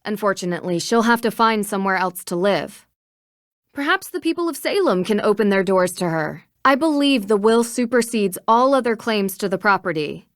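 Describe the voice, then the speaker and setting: A woman playing a poised young female amateur detective in a video game, speaking with an American accent in a measured, articulate, matter-of-fact way. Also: mid-range voice, expressive, no room sound, clean studio sound, natural voice. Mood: earnest, explaining. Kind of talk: scripted game dialogue.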